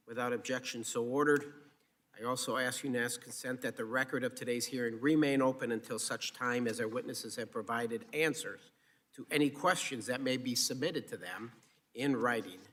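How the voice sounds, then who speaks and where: An American man speaks as a committee chairman in a formal setting. His voice sits at an average pitch as he works through procedural statements. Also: fairly quick, a bit bright. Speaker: subcommittee chairman. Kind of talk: formal procedural hearing remarks.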